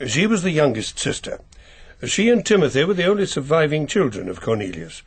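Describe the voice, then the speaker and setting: Husky, proper family solicitor. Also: male voice, fairly high pitch, very animated, brisk, full character voice. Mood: grave, explanatory. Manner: formal, precise, hesitant, lawyerly. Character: earnest, proper male family solicitor, anxious and careful. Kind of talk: radio drama acting.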